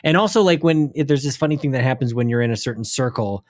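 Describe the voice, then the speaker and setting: A man hosts a gaming podcast in a relaxed conversational tone, thoughtful and reflective as he talks. His voice sits at a middle pitch, and the recording is dry and close. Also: fast talker, dry recording. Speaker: podcast host. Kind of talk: casual conversation.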